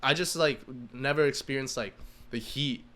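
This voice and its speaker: Clear-voiced American man in his twenties. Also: medium pitch, normal speed, fairly bright tone. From Massachusetts, United States. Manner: informal, swearing, frequent rhetorical "right?". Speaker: podcast guest. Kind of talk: casual chat.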